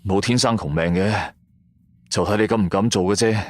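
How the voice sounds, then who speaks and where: A man's voice: lowish voice, moderate pace, no echo, clean studio sound, natural voice. A man playing a bold, scheming, down-on-his-luck would-be tomb robber in a Cantonese radio drama, streetwise and goading. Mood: quietly daring.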